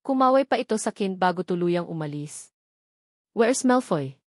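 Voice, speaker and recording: A woman's voice: on the low side, expressive, brisk, darkish tone, dry recording, studio quality. A woman reading a romance novel as a scripted audiobook narration.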